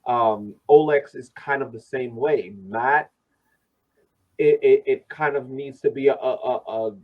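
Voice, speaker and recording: A man's voice: medium pitch, a little slow, muffled recording. A man discussing soccer on a casual podcast, speaking emphatically and often repeating words as he goes. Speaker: podcast host and soccer supporter.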